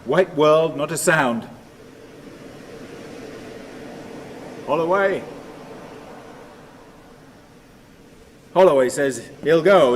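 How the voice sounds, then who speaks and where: An actor playing a troubled, lonely man who tells himself stories in halting, repetitive fragments, in a radio play monologue. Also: sits high, fairly bright tone, a little hiss or hum. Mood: rising, urgent.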